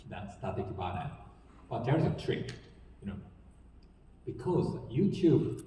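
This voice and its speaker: A man from Japan with a Japanese accent, speaking English as a second language on a panel, halting and full of fillers. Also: lowish voice, mellow, a little hiss or hum. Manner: halting, many fillers, apologetic. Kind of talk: panel discussion. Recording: echoey hall, distant mic.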